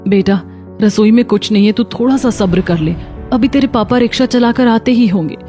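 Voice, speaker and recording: A woman playing a poor, hardworking mother in a cartoon story, anxious and gentle. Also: a little high, normal speed, faint music behind, close to an everyday voice. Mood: worried, soothing.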